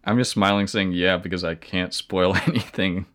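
A man with a low voice chats easily as a podcast guest. His remarks are dry and laid back. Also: fairly even intonation, moderate pace, fairly bright tone. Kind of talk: casual chat.

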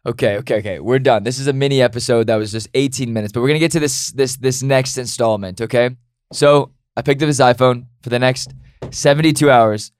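A man's voice: mid-range voice, brisk, darkish tone, clear, resonant tone, no room sound. Upbeat young man, dry close sound. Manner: upbeat, joking, promotional. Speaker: podcast co-host. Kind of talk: casual podcast conversation.

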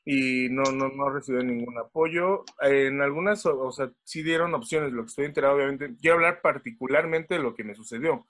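A man from Mexico City with a Mexican accent, a podcast guest who talks haltingly over a video call. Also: mid-range voice, a bit bright. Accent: Mexican Spanish. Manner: halting, many fillers and restarts. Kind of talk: casual chat.

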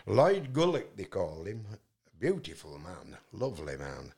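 Bloke, loud, lowish voice, telling a long rambly story with lots of uh and and. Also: male voice, wildly swooping pitch, a little slow, vocal fry, husky. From England. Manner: loud voice, many fillers and restarts. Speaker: guest.

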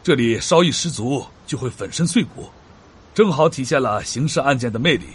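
A man's voice: mid-range voice, lively intonation, brisk, slight background noise, close to an everyday voice. A man playing a smug, self-satisfied lover of crime tales in an audio drama. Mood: smug, pleased. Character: smug, relishing male listener of crime tales.

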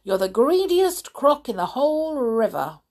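A woman voicing a scornful, sharp-tongued smaller crocodile who scolds and mocks. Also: sits high, very animated, measured pace, pitched above the performer's own voice, heavily acted. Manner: scolding, mocking. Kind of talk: storybook read-aloud.

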